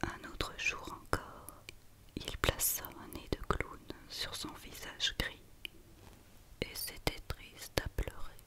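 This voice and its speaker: A woman whispering a children's story in ASMR style, reading slowly and deliberately with pauses. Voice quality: unvoiced whisper.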